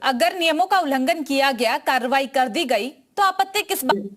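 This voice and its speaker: A woman's voice: sits high, animated, moderate pace. A woman anchoring live TV news as debate host, emphatic and repeating phrases for stress.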